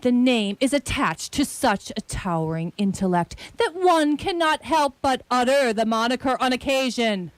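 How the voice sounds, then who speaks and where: A grumpy, conceited detective played by a woman in a comic radio play. The delivery is pompous and dryly sarcastic, swinging widely in pitch, and the voice is slightly high and closely, drily recorded. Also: expressive, normal speed, few pauses, dry recording, lightly acted. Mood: haughty, preoccupied. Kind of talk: comic radio theatre acting.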